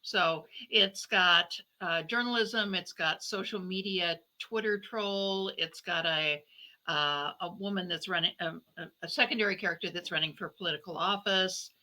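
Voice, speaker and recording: A woman with a very bright, sharp-edged voice set slightly low for a female speaker. She is a novelist being interviewed and explains things in a conversational way. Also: moderate pace.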